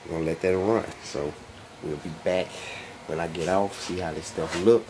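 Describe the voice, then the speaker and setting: A man's voice: low-pitched, steady tone, moderate pace, noisy background. A man in his thirties filming himself on a phone in a small home kitchen, talking in a laid-back, slangy way as he shows a recipe. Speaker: cooking channel host. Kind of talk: casual home cooking vlog. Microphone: phone camera held at arm's length.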